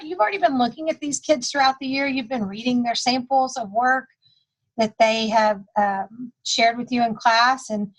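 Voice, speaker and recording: A woman's voice: a little high, low-quality recording. Chatty woman leading staff training. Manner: casual, dry humor, filler-heavy. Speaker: school testing coordinator. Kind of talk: recorded staff training talk.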